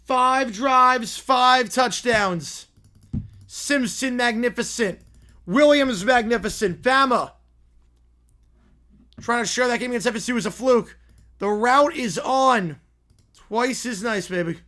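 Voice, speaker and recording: A man hosts a live game stream and calls the football play by play with a high, bright voice. His voice is hoarse and worn from long use. He reacts to the action and answers chat between plays. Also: slow, pauses often. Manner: excited, rising commentary on big plays, quieter asides to chat.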